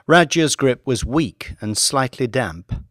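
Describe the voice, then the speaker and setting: A man's voice: mid-range voice, expressive, takes it slowly, fairly bright tone, no echo, clean studio sound. A man reading scripted fiction as an audiobook narrator, with dramatic storytelling delivery.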